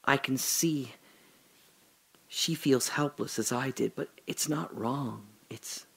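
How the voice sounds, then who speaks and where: An actor playing a dying older father, telling his memories in a halting, breathy dramatic monologue that drops to whispers. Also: medium pitch, fairly bright tone. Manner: halting, breathy, emotional, many pauses and restarts. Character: dying old father recalling his daughter's birth.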